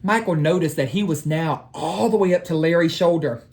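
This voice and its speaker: A woman with a fairly low voice, reading aloud as an educator to children. She is deliberate and emphatic, addressing her young listeners directly. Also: a little mellow, slight background noise. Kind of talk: storybook read-aloud and talk to children.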